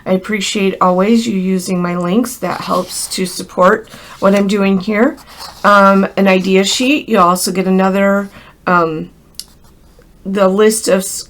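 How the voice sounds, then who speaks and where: An American woman with a crackly voice from a cold gives a casual, chatty hands-on craft tutorial, speaking directly and in a friendly way to viewers. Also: mid-range voice. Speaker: craft instructor.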